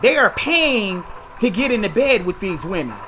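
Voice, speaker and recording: High, bright-voiced man lecturing bluntly. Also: sing-song, normal speed, slight background noise. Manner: preachy, blunt, lecturing with rhetorical questions. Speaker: commentary video host. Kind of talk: opinionated commentary monologue.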